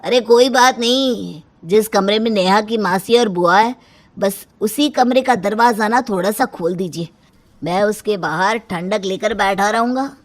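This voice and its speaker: A woman voicing a grumbling adult uncle in an animated Hindi comic story, complaining dramatically. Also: mid-range voice, measured pace, close to an everyday voice. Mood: grumbling, pleading. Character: grumbling, heat-stricken adult uncle at a wedding.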